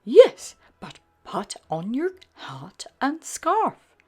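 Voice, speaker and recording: A fond mother dog calling out high, gentle warnings to her pup, with a soft breathy sound, as a woman puts her on. She sounds like a caring storybook mum. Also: huge pitch swings, extremely slow, heavily acted.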